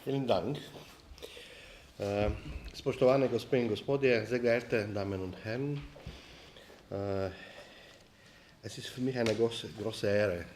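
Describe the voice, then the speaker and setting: Deep-voiced Slovenian festival director. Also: male voice, a little mellow, slight background noise. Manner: formal, deliberate, with fillers. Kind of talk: formal speech at press conference.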